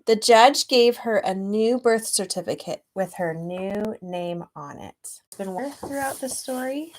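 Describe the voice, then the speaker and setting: A woman reading a children's storybook aloud expressively and gently, with chatty asides to young listeners. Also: mid-range voice, expressive, measured pace. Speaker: children's author reading own book aloud.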